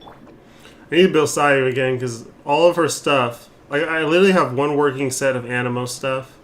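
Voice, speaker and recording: A man's voice: mid-range voice, normal speed, a bit bright. A man doing casual gameplay commentary as a streamer, rambling and thinking aloud. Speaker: game streamer. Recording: clear background noise.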